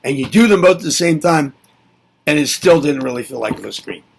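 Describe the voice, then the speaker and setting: A man's voice: on the high side, expressive, a little fast, vocal fry, a little hiss or hum. A man giving a reflective spoken lesson, musing aloud and asking himself rhetorical questions before answering them. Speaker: presenter.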